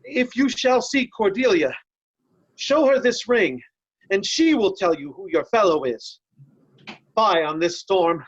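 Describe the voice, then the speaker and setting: Woman as a steadfast nobleman, very animated. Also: mid-range voice, measured pace, long pauses, slightly rough, muffled recording. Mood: urgent, confiding. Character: Kent, loyal nobleman, steadfast and urgent. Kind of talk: theatrical Shakespearean reading.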